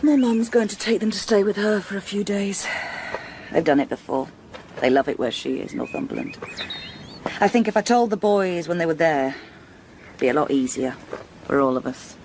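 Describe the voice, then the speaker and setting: A troubled wife and mother, soft-spoken and hesitant. Her voice is creaky and confessional, in naturalistic radio drama, with faint ambience behind her. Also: lowish voice, animated, noisy background. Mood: subdued. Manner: hesitant, confessional, halting phrases.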